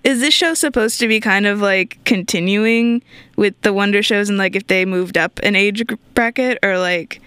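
A woman hosts a relaxed radio interview and keeps breaking into giggles. Her voice is smooth and resonant, recorded dry and close with no room around it. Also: medium pitch, normal speed, no room sound. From not from the country of the show. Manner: giggly, laughs often. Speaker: radio show host. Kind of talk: casual interview.

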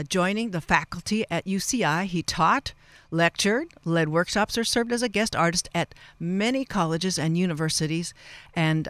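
Halting, chatty woman hosting radio, dry studio sound. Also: fairly low pitch, no echo. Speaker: radio show host. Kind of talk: live radio interview hosting. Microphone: studio microphone.

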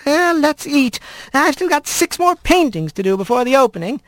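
A man's voice: extremely high pitch, very animated, moderate pace, a bit bright, no echo, voice pushed up, full character voice. A man voicing an old female squirrel grandmother who speaks in short, clipped, broken sentences and ends her questions with "yes". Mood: brisk.

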